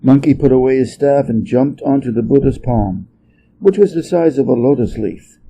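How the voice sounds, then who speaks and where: A man's voice: mid-range voice, moderate pace, warm tone, dull audio, a little hiss or hum. A man reading a story aloud as a scripted narration. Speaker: story reader.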